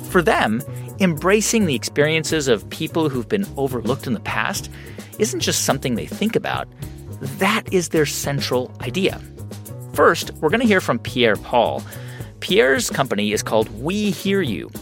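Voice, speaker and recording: A man hosting a program, reading a scripted introduction and then asking questions, animated and a bit hesitant. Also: fairly high pitch. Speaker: interviewer and program host.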